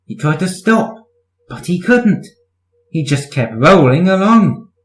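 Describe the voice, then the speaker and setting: Animated male storyteller, echoey room. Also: a little high, slow. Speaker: story reader. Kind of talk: animated children's storybook narration.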